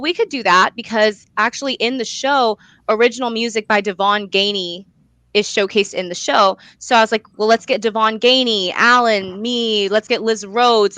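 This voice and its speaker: Young woman with a bright, clear voice. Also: a little high, no echo. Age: young adult. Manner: chatty, expressive, frequent "like" and "you know". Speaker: guest, young playwright and director. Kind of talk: casual interview storytelling.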